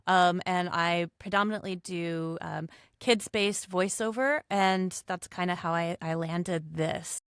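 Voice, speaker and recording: A woman's voice: fairly low pitch, steady tone, measured pace, hardly any pauses, a bit bright, smooth, no echo, studio quality. A woman chatting casually as a podcast guest in a joking manner. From lives in California, USA. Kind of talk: casual panel chat.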